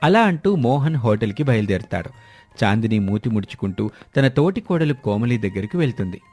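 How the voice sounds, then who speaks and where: A man's voice: mid-range voice, big pitch swings, a little fast, a little mellow, soft background music. A man narrating a scripted moral story as the storyteller.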